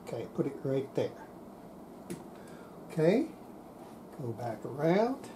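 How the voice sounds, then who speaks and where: An older man giving an unscripted hands-on repair demonstration, halting and muttering to himself as he works at a bench. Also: mid-range voice, very animated, background noise. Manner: halting, muttering to self while working. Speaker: radio repair hobbyist, presenter. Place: indoor workbench.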